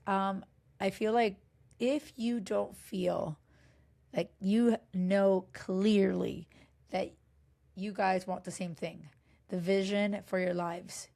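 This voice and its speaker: A woman's voice, worn hoarse by a cold, talks straight to the listener as a relationship coach. She speaks in her thirties at an ordinary middle pitch, frank and chatty, stumbling over restarts and leaning on "you know.". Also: a little mellow. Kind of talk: casual direct-to-camera advice talk.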